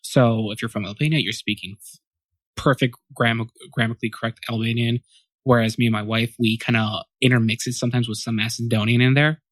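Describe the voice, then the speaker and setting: A man's voice: medium pitch, steady tone, a little fast, a bit bright, dry recording, low-quality recording. A man in his twenties, a podcast guest, answering questions in a loose, rambling way, often correcting himself and thinking aloud. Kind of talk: casual interview answers.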